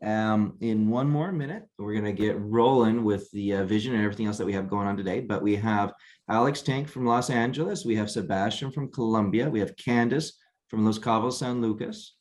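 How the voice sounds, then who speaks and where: A man hosting a weekly video call, talking in a relaxed, halting way and often repeating words. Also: on the low side. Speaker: host.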